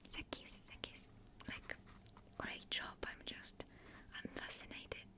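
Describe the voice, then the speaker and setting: A woman in her twenties, a native Russian speaker, whispering in a soft, meandering ASMR ramble close to a desktop condenser mic. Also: a little slow. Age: 20s. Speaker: ASMR creator. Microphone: desktop USB condenser mic.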